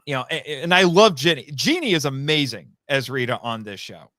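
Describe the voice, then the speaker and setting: A man's voice: medium pitch, expressive, a little fast, pushed and bright, no room sound, clean studio sound. A man talking casually and enthusiastically as a podcast guest, chatty and often correcting himself as he explains. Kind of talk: casual enthusiastic interview talk.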